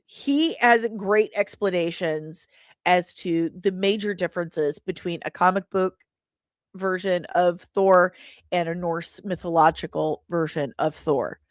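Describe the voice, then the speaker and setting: A woman hosting a casual livestream, talking in an animated, rambling and self-deprecating way. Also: fairly low pitch, a little slow, dry recording, studio quality. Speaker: livestream host.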